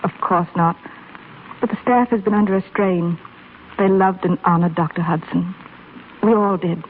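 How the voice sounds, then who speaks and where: An actress playing a firm, brisk hospital nurse in a 1930s radio drama. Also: female voice, on the low side, fairly even intonation, natural voice. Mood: earnest, firm. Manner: brisk, stern, formal. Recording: scratchy, tinny 1930s radio broadcast recording.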